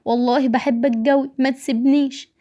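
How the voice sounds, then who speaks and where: A woman's voice: high-pitched, steady tone, very fast, lo-fi sound, pitched above the performer's own voice, natural voice. A woman voicing a lovesick, anxious young wife in an audio story, pleading urgently with an Egyptian accent. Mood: tearful pleading.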